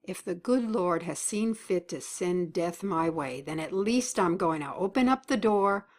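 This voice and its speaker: A woman's voice: fairly low pitch, expressive, unhurried. An actress playing a dying older mother who talks in a blunt, folksy, wry way. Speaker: actor. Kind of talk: web drama acting.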